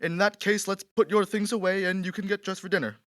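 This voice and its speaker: A man's voice: high voice, steady tone, fast, a bit bright, no echo, clean studio sound. A man acting a pompous, temperamental aristocratic poet in a period radio drama, theatrical and condescending. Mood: flustered.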